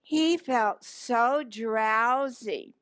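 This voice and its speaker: A woman with a high voice reads a children's story aloud at a very slow pace. She draws words out for dramatic emphasis and puts questions to her young listeners. The sound is close and dry. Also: a bit bright, no echo. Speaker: children's library story time reader. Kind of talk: animated picture-book read-aloud.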